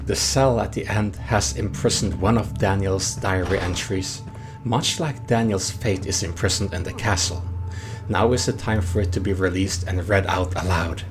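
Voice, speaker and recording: Deep-voiced man narrating analysis. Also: noisy background. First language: Norwegian. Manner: analytical, measured. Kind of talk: scripted narration. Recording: voice-over over loud game music.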